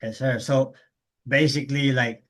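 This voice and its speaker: A man hosting a casual interview, asking loose, halting questions in street slang. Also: mid-range voice, steady tone, a bit bright. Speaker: host.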